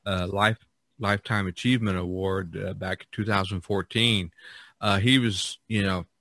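A man hosting a casual podcast chat, talking in a rambling, halting way with frequent restarts. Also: deep voice, fairly even intonation, a bit bright, dry recording, clean studio sound. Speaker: podcast host. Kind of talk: casual chat about painting.

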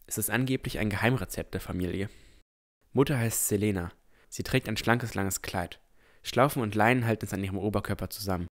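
A man playing an earnest, curious boy who narrates a fantasy audio drama in a measured, descriptive way. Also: fairly low pitch, dry recording, studio quality, lightly acted. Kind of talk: scripted first-person audio drama narration.

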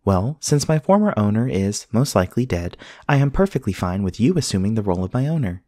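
A man playing a polite, earnest companion robot in an ASMR roleplay, speaking in formal, measured, precise sentences. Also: fairly low pitch, big pitch swings, dark tone, no echo, studio quality. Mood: courteous, helpful. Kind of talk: scripted ASMR roleplay acting.

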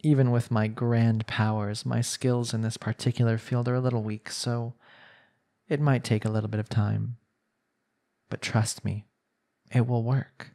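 A man performing an ASMR roleplay as a smug, coaxing young villain hypnotist, speaking in a soothing, deliberate way. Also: fairly low pitch, gravelly, mellow. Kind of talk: ASMR roleplay acting, slow hypnotic monologue.

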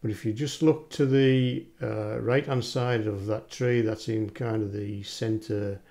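A man's voice: on the low side, steady tone, a little slow, gravelly, mellow, dry recording. A man narrating his fell walk casually on a camera mic outdoors, chatty and pointing out the views. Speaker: hiker filming his walk. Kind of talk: casual walking vlog narration. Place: outdoors on open fell paths. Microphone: camera mic, windy. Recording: faint outdoor noise.

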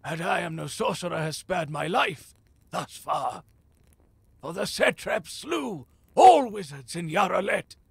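Gravelly, high-pitched ominous philosopher. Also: male voice, expressive, measured pace, dry recording, heavily acted. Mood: bitter, pained. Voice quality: gravelly, husky. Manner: theatrical, portentous, archaic phrasing. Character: cursed, frail male philosopher and seer, ominous and solemn. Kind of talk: fantasy audio drama acting.

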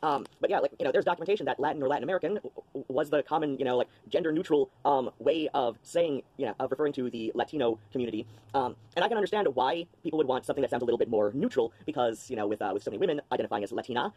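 A man's voice: mid-range voice, rapid-fire, darkish tone, husky. A man chatting casually at home into a webcam during an unboxing, rambling with lots of ums and restarts. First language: English. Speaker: vlogger. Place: small cluttered room at home, indoors.

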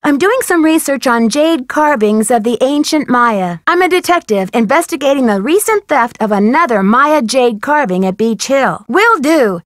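A young female sleuth in a mystery video game. Her voice is high and dry, close with no room around it. She speaks politely and earnestly, phrasing everything clearly, with an inquisitive temper. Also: expressive, normal speed, hardly any pauses, dry recording. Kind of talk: scripted video game dialogue.

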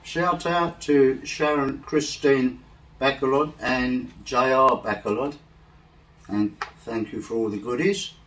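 A middle-aged English man reading shout-outs slowly and carefully in a casual home vlog, seated on a living-room sofa. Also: medium pitch, far from the mic, slight background noise, rough recording. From England. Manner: slow, soft-spoken, careful over names. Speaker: vlog co-host. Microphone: far camera.

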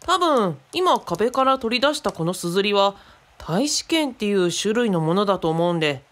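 A woman plays a friendly adult companion in a radio drama, with a medium-pitched, animated voice. The character casually explains things to a child, often thinking aloud in a conversational way. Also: moderate pace, natural voice.

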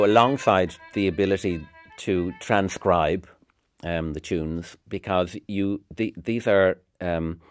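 A middle-aged man talks in a very low voice, reflective and a little halting, as in a documentary interview. Fiddle music plays behind him. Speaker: university music academic.

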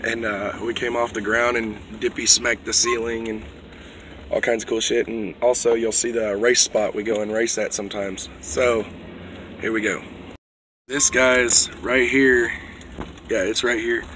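A young man talks into a phone held at arm's length in a moving SUV. His voice is going hoarse, and his talk is loose, joking and full of swearing. Faint road noise runs underneath. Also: mid-range voice, level tone, unhurried, a bit bright. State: losing his voice. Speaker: vlogger. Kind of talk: casual car vlog.

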